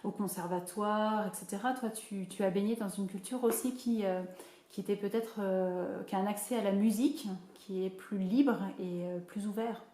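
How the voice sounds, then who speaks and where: Female interviewer, mid pitch, mellow tone, encouraging and prompting; roomy sound. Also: steady tone, moderate pace. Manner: encouraging, many short affirmations. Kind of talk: casual interview.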